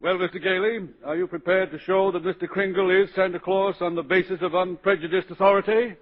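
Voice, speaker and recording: Man as elderly radio-play judge, dignified, hesitant, pompously judicial, high-pitched, muffled 1940s broadcast. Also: steady tone, fairly quick, natural voice. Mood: official. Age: older. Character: flustered, dignified old grandfather judge.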